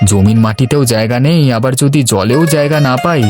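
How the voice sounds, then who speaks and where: Guy doing an older river nomad in a cartoon, thoughtful and measured, music behind him. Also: medium pitch, lightly acted. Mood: worried, reflective. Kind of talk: cartoon dialogue acting.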